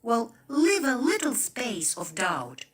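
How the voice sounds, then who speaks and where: A woman's voice: a little high, wildly swooping pitch, slow pace, slight background noise. A woman speaking emphatically in conversation, listing her points and building in intensity. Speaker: co-host. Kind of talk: impassioned conversational appeal.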